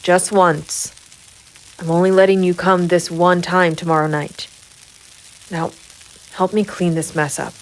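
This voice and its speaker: A woman playing a hard-bitten, brooding female private investigator in a noir audio drama, with grim, measured delivery. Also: lowish voice, flat delivery, poor audio. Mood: firm. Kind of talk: noir first-person narration and audio drama dialogue. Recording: music behind the voice. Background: music.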